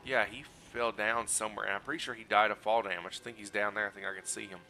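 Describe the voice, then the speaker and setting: Sick, scratchy-voiced male streamer. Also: fast. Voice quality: scratchy, low energy. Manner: relaxed, explanatory, chatty asides to chat. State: sick, hoarse. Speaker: game streamer. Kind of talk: casual gameplay walkthrough commentary.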